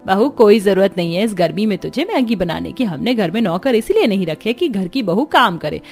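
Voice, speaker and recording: A woman's voice: medium pitch, very animated, fairly quick, vocal fry, darkish tone. A woman voicing a proud, bossy, rich middle-aged mother-in-law in a cartoon. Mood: scolding.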